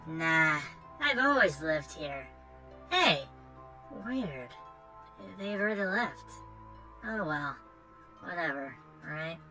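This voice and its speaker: A man voicing an angsty punk goth teenage girl with a flat, bored, dismissive delivery. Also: fairly high pitch, slow, long pauses, faint music behind, voice pushed up, exaggerated voice, low-quality recording.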